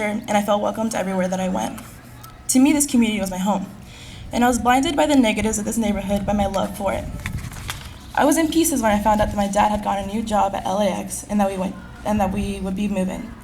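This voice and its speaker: A teenage girl, a high school senior, earnestly delivering a prepared personal speech at a school event. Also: a little mellow, a little hiss or hum, poor audio. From California. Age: teens. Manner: earnest, reading from a script at a quick clip.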